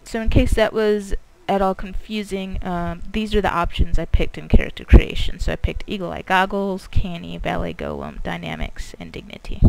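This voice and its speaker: A woman hosting a gameplay video in a casual, rambling, halting way, recorded with her mouth very close to the mic. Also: medium pitch, fairly even intonation, a little mellow, low-quality recording. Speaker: gamer hosting a video series. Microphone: mouth very close to microphone. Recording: breathy close-mic overload, clicks, faint noise.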